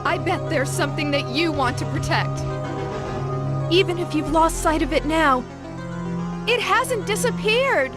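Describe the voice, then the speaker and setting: Young female game comrade, earnest, caring, extremely high voice, dramatic, loud music behind. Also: expressive, measured pace, pauses often. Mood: pleading. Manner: earnest, emphatic. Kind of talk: dramatic English video game dub acting.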